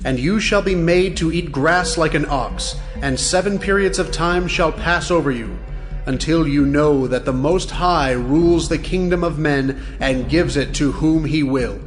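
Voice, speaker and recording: An actor playing a stern divine voice from heaven, pronouncing judgment solemnly in a dramatized scripture production. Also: male voice, a little high, a little slow, soft background music, lightly acted.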